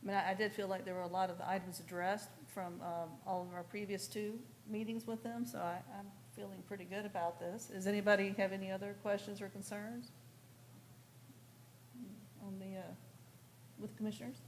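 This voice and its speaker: A woman's voice: medium pitch, steady tone, brisk. Woman chairing a meeting, noisy background. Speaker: commission chair running a public meeting. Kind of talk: formal meeting procedure, conversational. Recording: clear background noise, room ambience.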